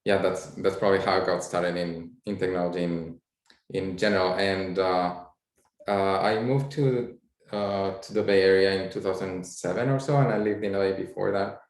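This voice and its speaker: Low-voiced Spanish-accented man, echoey room. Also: fairly even intonation, moderate pace. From Spain. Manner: hesitant, many "uh" fillers. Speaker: panelist. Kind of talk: casual introduction on a video call panel.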